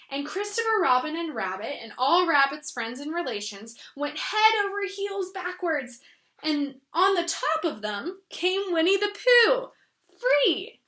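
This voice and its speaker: A woman reading a children's story aloud in an expressive way, with chatty asides to her listeners. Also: sits high. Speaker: read-aloud host. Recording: roomy echo.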